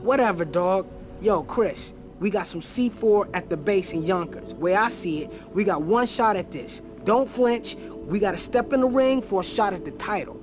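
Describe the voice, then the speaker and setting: An actor playing a blunt, streetwise resistance fighter who talks in brash slang in a video game cutscene. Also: male voice, high-pitched, lively intonation, moderate pace, over music. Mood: determined.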